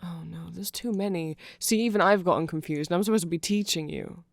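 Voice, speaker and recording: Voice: mid-range voice, animated, a little fast, gravelly, no echo. A person of unclear sex giving a rambling ASMR explanation of fan lore, often getting flustered, trailing off and correcting themselves with amused enthusiasm.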